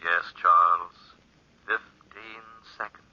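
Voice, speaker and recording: An actor playing a menacing, coaxing inner voice of madness in a 1940s radio horror play, speaking insinuatingly. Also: male voice, deep voice, fairly even intonation, slow pace. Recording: very noisy, dull 1940s radio recording.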